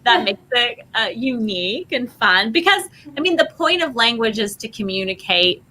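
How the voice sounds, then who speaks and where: A woman's voice: fairly high pitch, very animated, normal speed, sharp tone, poor audio. A woman guest on a podcast, chatting enthusiastically and casually, often saying "like". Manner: enthusiastic, chatty, says "like" a lot. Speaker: guest, language program owner.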